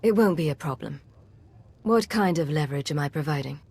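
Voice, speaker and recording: A woman's voice: sits low, lively intonation, a little fast, a little mellow, husky, full character voice. A woman playing a cool, guarded secret agent in a video game, with terse, controlled lines. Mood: businesslike. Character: cool, self-reliant female secret agent, loyal and guarded.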